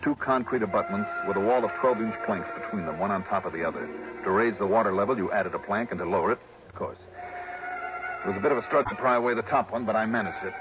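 An actor playing a shrewd, hard-boiled private investigator in mid-century radio drama, with a clipped, wry, probing way of talking. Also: male voice, on the low side, quick pace, background noise, close to an everyday voice. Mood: brooding narration. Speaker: star of the show. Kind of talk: 1950s-60s radio drama acting and first-person narration. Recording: dull vintage radio recording with music.

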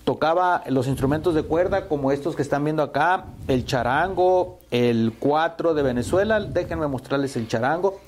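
A man's voice: fairly high pitch, moderate pace, hardly any pauses, slight background noise. A Mexican man in his fifties, a musician answering interview questions in a hesitant way, full of fillers and repeated words. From Sonora, Mexico. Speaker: musician guest.

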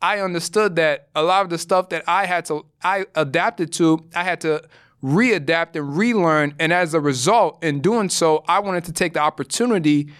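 A young man from Ghana hosts a solo podcast, laying out his ideas in an earnest, explanatory way. His voice sits a little high and sounds smooth and clear. He is recorded close and dry, with no room sound. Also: normal speed, fairly bright tone, no echo. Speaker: podcast host.

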